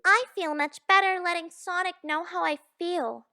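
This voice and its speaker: Very high, bright lovestruck cartoon heroine played by a woman. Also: fairly even intonation, moderate pace, exaggerated voice. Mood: relieved. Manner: earnest, gushing. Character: sweet, lovestruck girlish cartoon hedgehog heroine. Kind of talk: fan audio drama acting.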